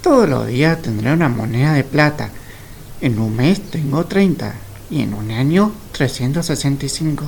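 Radio-play dupe voiced by a man: greedy, halting, eager, highly animated pitch, faint background ambience. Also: creaky, slight background noise. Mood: gloating. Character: gullible, greedy mule-riding traveler, eager to buy. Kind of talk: Spanish-language storybook radio play.

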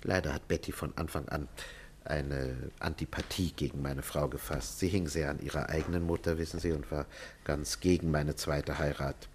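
A man's voice: a bass voice, fairly even intonation, moderate pace, darkish tone, a little hiss or hum. An actor playing a worried middle-aged psychiatrist and father in a 1950s German radio play, formal and hesitant in manner. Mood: troubled. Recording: 1950s radio drama recording.

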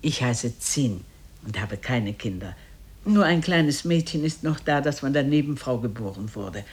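An actress playing a kindly, chatty, scolding old aunt with a husky voice and courteous, expressive radio drama delivery. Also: female voice, extremely low pitch, a little slow, full character voice. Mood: confiding. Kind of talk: 1950s German radio drama acting.

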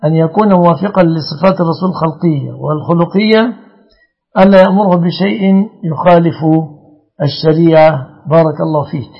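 A man's voice: on the high side, brisk, creaky, breathy edge, lo-fi sound. A man, a religious scholar, answering callers' questions in formal speech and setting out rulings point by point. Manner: formal classical Arabic, lays out rulings point by point. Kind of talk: call-in fatwa answers.

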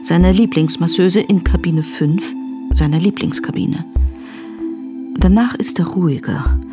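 A woman's voice: fairly low pitch, a little slow, over music. A woman reading scripted, present-tense narration for a radio drama.

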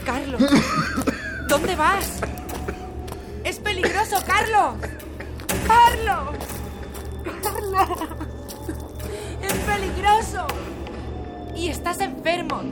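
An actress playing an anguished, evasive, clinging wife in a radio drama, imploring insistently. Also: female voice, very high-pitched, extremely slow, pauses often, over loud music, exaggerated voice. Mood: desperate, frightened. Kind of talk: Spanish radio drama acting.

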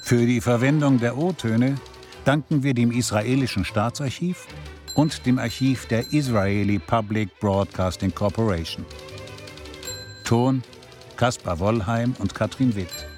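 A man working as an announcer, reading scripted radio drama credits in a formal, deliberate manner. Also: medium pitch, darkish tone, faint music behind.

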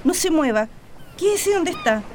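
Woman as a caring worried mum in a radio play, high voice, slight background noise. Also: big pitch swings, moderate pace. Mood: concerned. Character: caring, anxious mother of young daughters. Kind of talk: radio drama acting.

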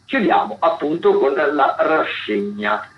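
A man's voice: on the high side, sing-song, slow pace. A man hosting a radio arts programme, talking through theatre listings in a semi-improvised way, often searching for words and repeating himself. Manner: hesitant, searching for words with repetitions. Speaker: radio host presenting a theatre events roundup. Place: radio studio. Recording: dull lo-fi radio sound, faint noise.

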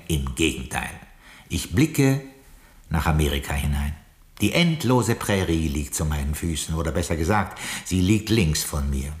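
An actor playing a formal, loyal, pedantic castle butler in a radio play, speaking with ceremonious dry dignity. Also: male voice, deep voice, slightly rough, exaggerated voice. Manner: dignified, ceremonious, dryly correcting. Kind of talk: theatrical radio drama storytelling.

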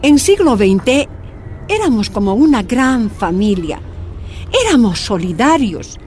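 An actress playing an older, warm and resolute miners' leader in a radio drama, speaking emphatically. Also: female voice, on the high side, big pitch swings, slow, soft background music. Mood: sorrowful, grave. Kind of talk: radio drama acting, first-person testimony.

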